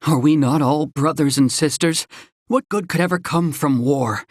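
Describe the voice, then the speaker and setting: A man's voice: on the high side, normal speed, dry recording, studio quality, lightly acted. A male actor playing an earnest, peaceable villager in a video game, speaking sincerely. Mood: earnest, troubled. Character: earnest, peaceable festival-goer in a spiritual village. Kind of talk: video game character acting.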